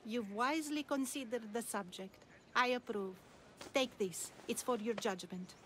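A woman's voice: a little high, unhurried, slight background noise, close to an everyday voice. An actress playing a shrewd, enigmatic older woman of ancient Greece in a video game, composed and cryptic. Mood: testing, composed.

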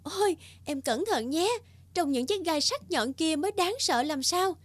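A woman voicing a gentle, worried young fairy in a children's cartoon fairy tale. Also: very high voice, expressive, measured pace, dry recording. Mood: concerned.

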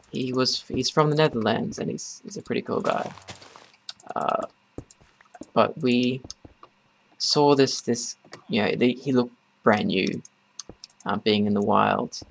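A man giving casual, rambling gameplay commentary in a halting way as host of a gaming video. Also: medium pitch, vocal fry. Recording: noisy, keyboard and mouse clicks.

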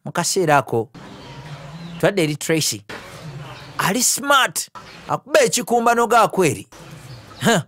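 A man's voice: high voice, sing-song, normal speed, pauses often, fairly bright tone. A man with a Ugandan accent working as a video jockey, narrating and translating a film live over its soundtrack. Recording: noisy film soundtrack underneath. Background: original film dialogue and noise.

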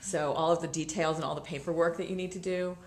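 A middle-aged woman chatting as a guest, relaxed and self-deprecating. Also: fairly low pitch, fast talker, echoey room. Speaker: guest mom. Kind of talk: casual chat.

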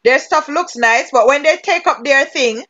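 A woman's voice: sits high, moderate pace, a bit bright, lo-fi sound. A woman with a Jamaican accent teaching a cooking class over a video call, giving step-by-step directions and laughing easily. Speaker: cooking instructor.

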